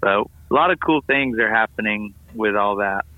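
A slightly hoarse man calls in over a tinny, narrow phone line. He is a pastor reporting on his week with upbeat enthusiasm. He rambles warmly through a lot of "um"s, and the sound is dry and close. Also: on the low side, moderate pace, dry recording. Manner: upbeat, enthusiastic storytelling with fillers. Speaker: family ministries pastor. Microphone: phone call.

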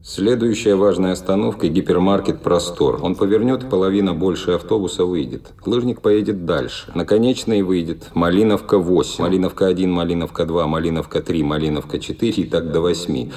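An actor playing a scornful city commuter in an audio play, commenting in a dry colloquial way. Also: male voice, low-pitched, a little fast, hardly any pauses, a little mellow, close to an everyday voice.

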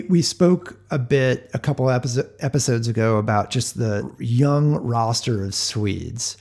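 A man's voice: mid-range voice, darkish tone. A man co-hosting a sports podcast in casual discussion.

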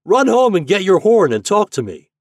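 A man's voice: lowish voice, sing-song, moderate pace, a little mellow, husky, no echo, studio quality, voice pushed up. A man voicing a jolly, kind riverboat captain who roars and calls out heartily in a storybook. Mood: hearty shouting. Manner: roaring, calling out through a trumpet.

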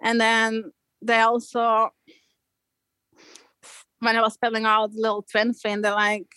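A woman's voice: fairly high pitch, monotone, measured pace, sharp tone, clean tone, dry recording. A woman from Belgium with a Belgian accent, telling personal stories in a casual interview, using informal surf slang. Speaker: podcast guest, amateur big wave surfer.

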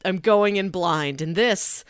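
A woman's voice: on the low side, slow pace, smooth, dry recording, studio quality. A woman co-hosting a podcast, talking casually and analytically as she explains her views on films. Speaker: podcast co-host. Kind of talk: casual film discussion podcast.

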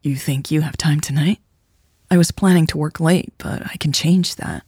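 A woman playing a hurt, uneasy female partner in an audiobook, with a subdued, slightly croaky voice and plaintive manner. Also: deep voice, steady tone, normal speed, darkish tone, dry recording, clean studio sound. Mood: uneasy, disappointed. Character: Louise, sleepy, hurt, needy woman partner. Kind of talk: audiobook character dialogue.

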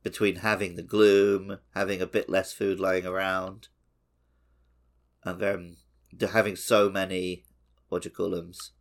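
A man's voice: low-pitched, level tone, a little slow. A man co-hosting a podcast, chatting casually about video games. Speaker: podcast co-host. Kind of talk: casual two-man video game discussion.

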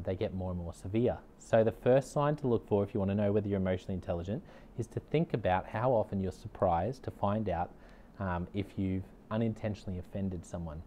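A middle-aged man with an Australian accent and a somewhat low voice talks straight to his listeners about leadership. He sounds earnest and upbeat, and he repeats his main points for emphasis. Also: mellow, a little hiss or hum. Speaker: leadership consultant and podcast host. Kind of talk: direct-to-camera motivational talk.

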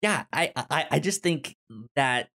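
Halting, stuttering man chatting casually. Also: on the high side, animated, gravelly, pushed and bright. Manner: halting, stuttering repeats of words and syllables. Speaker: podcast co-host. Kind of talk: casual movie discussion.

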